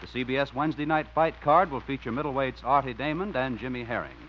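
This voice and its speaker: A man making announcements and narrating a 1950s radio comedy in a crisp, declamatory way. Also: mid-range voice, fast talker, slight background noise. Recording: muffled old radio broadcast.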